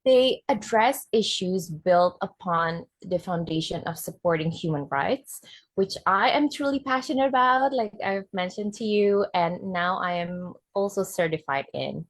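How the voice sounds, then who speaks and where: A woman's voice: lowish voice, expressive, unhurried, no room sound. Earnest woman presenting a webinar. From Indonesia. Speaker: guest speaker, fashion designer.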